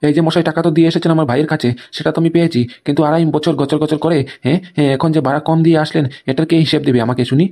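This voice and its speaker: A man's voice: fairly high pitch, steady tone, racing, few pauses, dark tone, close to an everyday voice. A man voicing an exasperated landlord chasing rent in an amateur comedy cartoon, complaining and sarcastic.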